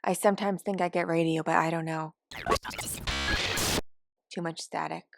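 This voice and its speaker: A woman's voice: lowish voice, steady tone, normal speed, creaky, very noisy, voice pushed down. A woman voicing a cranky, forgetful elderly care home resident in a wry, rambling, complaining monologue from a short story. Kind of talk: first-person dramatic monologue of short fiction.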